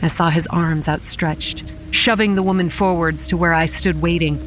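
A woman playing a young campground manager, narrating a horror audio drama in a grave, measured way with a dry edge. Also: on the low side, steady tone, lightly acted. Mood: grave, haunted. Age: young adult. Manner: grave, measured storytelling with dry sarcastic asides. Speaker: narrator and lead actor. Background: quiet music and rain.

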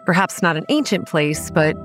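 A woman's voice: fairly low pitch, expressive, moderate pace, faint music behind, close to an everyday voice. An actress playing a frank, weary young woman who manages a haunted campground, narrating a horror audio drama in a confiding, matter-of-fact way. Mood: earnest, weary. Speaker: narrator and protagonist.

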